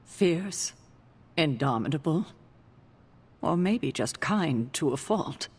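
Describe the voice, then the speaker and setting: A woman playing a stern, commanding older guardian in a video game cutscene, grave, deliberate and authoritative. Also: low-pitched, animated, breathy edge, exaggerated voice. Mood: grave, bitter.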